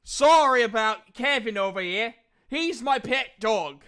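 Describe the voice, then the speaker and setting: A game master voicing a boisterous, chummy tavern drinker in a tabletop game, loud and brash. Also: mid-range voice, expressive, measured pace, clear, resonant tone, voice pushed up. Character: boisterous, chummy human drinker with a pet kangaroo.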